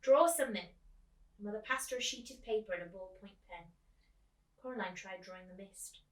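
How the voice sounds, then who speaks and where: An echoey, slightly distant recording of a woman reading a children's story aloud. Her voice is bright and clearly projected, in the manner of a storytime teacher. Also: medium pitch, fairly quick. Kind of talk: animated children's book read-aloud. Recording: echoey room, away from the mic.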